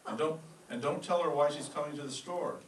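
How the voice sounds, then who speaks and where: A man playing a brisk, courteous department store owner in a radio comedy. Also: fairly high pitch, lightly acted. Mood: eager, friendly. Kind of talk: old-time radio comedy acting. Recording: echoey room, distant mic, faint noise.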